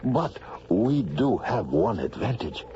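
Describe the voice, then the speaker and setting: An actor playing a grave, gentle, dignified lord who speaks in a measured, formal way in a radio drama. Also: male voice, fairly low pitch, big pitch swings, noisy background. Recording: dull old radio drama recording.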